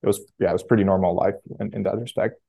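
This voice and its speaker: A man, answering interview questions in a casual, halting way with many restarts. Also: low voice, steady tone, vocal fry, dark tone, breathy edge. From Romania. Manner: halting, frequent self-restarts and fillers. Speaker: startup founder guest.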